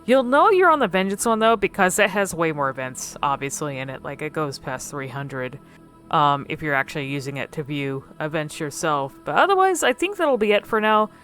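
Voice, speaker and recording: Bright, lower-pitched woman doing casual commentary. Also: very animated, normal speed, faint music behind. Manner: hesitant, meandering. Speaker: video creator. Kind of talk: casual unscripted gameplay commentary.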